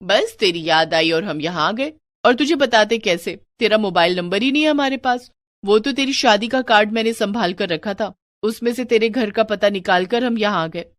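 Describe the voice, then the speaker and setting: A woman's voice: mid-range voice, normal speed, dry recording, clean studio sound, natural voice. Woman as a cheerful, affectionate young friend. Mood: teasing, friendly. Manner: polite, friendly, reassuring.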